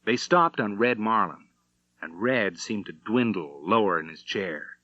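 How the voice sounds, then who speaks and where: A man reading a western novel as an audiobook narrator, in measured, dramatic storytelling. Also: on the low side, expressive, bright, forceful tone, no echo, muffled recording. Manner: measured storytelling with acted dialogue.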